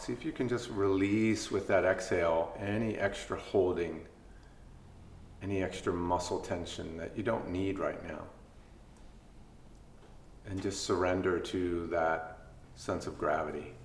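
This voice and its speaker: Man, deep voice, mindfulness instructor, guided meditation, gentle, pausing between phrases, faint background noise. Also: fairly even intonation, reverberant. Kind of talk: guided body scan meditation.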